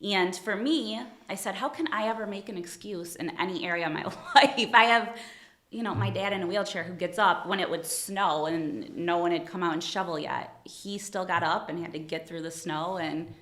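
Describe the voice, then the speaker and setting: A woman's voice: fairly low pitch, normal speed, fairly bright tone, reverberant. A woman from Ohio working as an attorney, chatting casually in an interview, friendly and modest, laughing often. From Toledo, Ohio. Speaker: attorney, guest.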